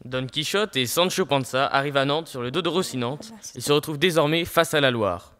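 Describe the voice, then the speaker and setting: A man's voice: a little high, a little fast, a bit bright. A teenage man narrating a scripted audio drama in a storytelling style. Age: teens.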